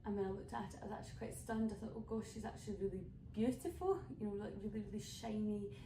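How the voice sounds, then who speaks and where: A woman's voice: medium pitch, fast. A woman with a Scottish accent from the west coast of Scotland, a folk singer chatting casually and rambling a little as she introduces her songs for an online showcase. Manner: chatty, rambling storyteller with many fillers. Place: home room streaming online. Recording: roomy, distant mic with background noise.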